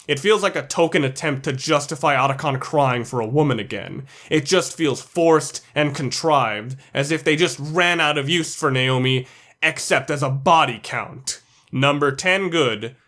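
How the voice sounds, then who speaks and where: Higher-voiced man narrating a countdown. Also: a bit bright. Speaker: video essayist, countdown narrator. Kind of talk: scripted countdown commentary.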